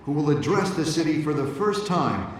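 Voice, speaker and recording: A man acting as a formal TV news reporter in a game, with a somewhat high voice. The delivery is broadcast-style, heard from a distance with heavy echo. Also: lively intonation, normal speed, a little hiss or hum, lightly acted. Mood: formal, urgent. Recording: very echoey, distant, music behind.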